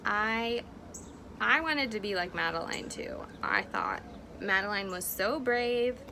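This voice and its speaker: A woman teacher doing an interactive picture-book read-aloud for young children, expressive and encouraging. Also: medium pitch, takes it slowly. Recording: clearly noisy background.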